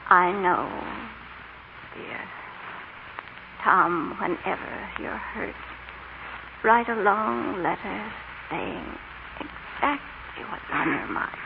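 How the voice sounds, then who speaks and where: An actress playing a gentle, ailing mother in 1940s radio style, speaking tenderly and haltingly. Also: on the low side, animated, gravelly, full character voice. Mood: weak, tender. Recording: muffled, very noisy old radio recording.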